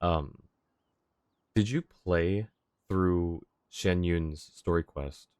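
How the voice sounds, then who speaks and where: A man's voice: low voice, extremely slow, a little mellow, clean tone, dry recording, clean studio sound. A man chatting casually on a game livestream, easygoing and polite, thinking aloud as he replies to viewers. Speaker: game streamer.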